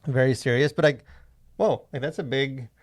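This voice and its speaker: A man hosting a casual podcast discussion, talking in a halting, rambling way full of fillers. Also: medium pitch. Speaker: podcast host.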